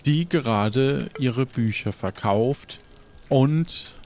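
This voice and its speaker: A man narrating an improvised role-play as game master, measured and pausing to think. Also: mid-range voice, a little mellow.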